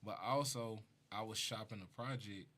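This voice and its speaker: A man's voice: fairly low pitch, normal speed, pushed and bright. A man from Mississippi with a Southern US accent, a rapper telling stories casually as a guest on a talk show. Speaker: rapper being interviewed.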